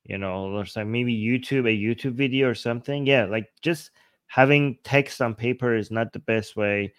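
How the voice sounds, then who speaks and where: Male panel host, sarcastic and quick to mock-quote; mid-range voice, dead-dry sound. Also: mid-range voice, clean tone, no echo. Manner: sarcastic, mocks arguments with quick imitated quotes. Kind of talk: casual online panel discussion.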